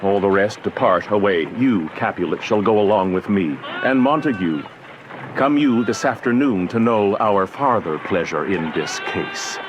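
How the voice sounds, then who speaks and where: An actor playing a stern, authoritative prince in a classical radio drama, with solemn, commanding declamation. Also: male voice, lowish voice, lively intonation, moderate pace, dull audio, lightly acted. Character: stern, authoritative ruling prince in Shakespearean tragedy. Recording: noisy outdoor-sounding drama scene.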